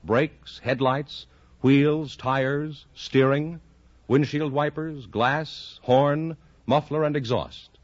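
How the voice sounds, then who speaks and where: A man's voice: fairly high pitch, steady tone, takes it slowly. A man with an American accent reading scripted sponsor announcements on 1950s radio, in a polished salesman's delivery. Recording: dull vintage radio broadcast, some music.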